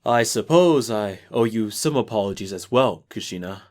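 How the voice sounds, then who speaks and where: A man's voice: lowish voice, expressive, normal speed, darkish tone, natural voice. Stern, formal clan head played by a man. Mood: apologetic. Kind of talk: fan-made anime audio drama acting.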